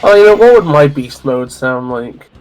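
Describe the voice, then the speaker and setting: A man's voice: on the high side, expressive, normal speed, a little mellow, rough recording. A Welsh man streaming a video game, musing aloud in casual chat with stammering restarts. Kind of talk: casual chat while gaming.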